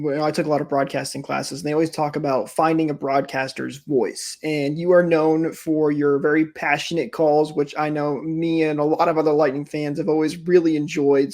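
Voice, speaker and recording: A man's voice: a little high, darkish tone. A man hosting a podcast, putting a question to a guest in a casual interview style.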